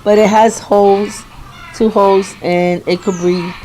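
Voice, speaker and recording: A middle-aged woman with a hoarse voice giving a rambling, chatty garden tour outdoors, often stopping and restarting her sentences. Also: medium pitch, fairly even intonation, unhurried, dark tone, a little hiss or hum, poor audio. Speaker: home gardener. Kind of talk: casual garden tour vlog.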